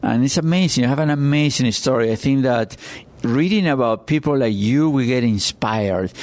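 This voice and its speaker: A man's voice: mid-range voice, a little mellow. A man hosting a podcast, moving between scripted introduction and conversational interviewing. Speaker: podcast host.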